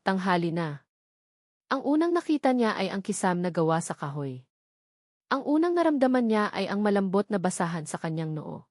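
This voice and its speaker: A woman reading a scripted dramatic story in measured, deliberate short phrases. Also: mid-range voice, a little mellow, dry recording, studio quality. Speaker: audiobook narrator.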